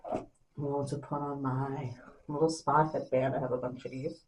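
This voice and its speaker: A young woman vlogger in a small room at home, talking softly and rambling to the camera at night, sometimes losing her train of thought. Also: little pitch movement, moderate pace, rough recording. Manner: soft and low-voiced at night. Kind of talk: casual late-night chat to camera.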